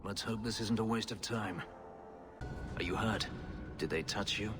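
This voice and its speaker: An actor playing a brooding, bitter escaped slave warrior in a video game, speaking in an intense, grim, clipped way. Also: male voice, lowish voice, unhurried, music in the background, lightly acted. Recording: long cave-like reverb, faint noise. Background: faint ambience.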